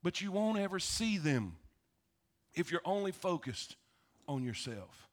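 A male pastor with a Southern US accent, preaching in a folksy, animated storytelling way and repeating phrases for emphasis. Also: high-pitched, measured pace. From South Florida, United States. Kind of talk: sermon, folksy storytelling to a congregation.

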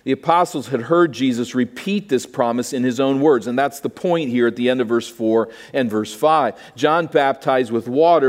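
A man preaching an expository sermon in deliberate, emphatic phrases with explanatory asides, recorded in a large reverberant hall. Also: mid-range voice, a little mellow.

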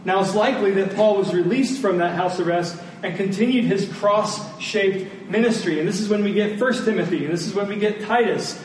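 A man's voice: sits high, a little fast, hardly any pauses. A middle-aged man preaching a sermon in a conversational way, putting rhetorical questions to his listeners. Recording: very echoey room, distant mic, faint noise.

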